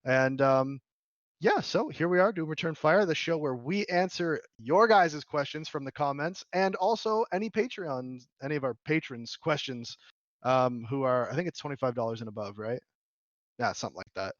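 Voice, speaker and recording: Slightly hoarse man hosting casually. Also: fairly high pitch, expressive, normal speed, no echo, muffled recording. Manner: laughs often, chatty with filler words. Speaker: podcast host. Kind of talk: casual podcast chat.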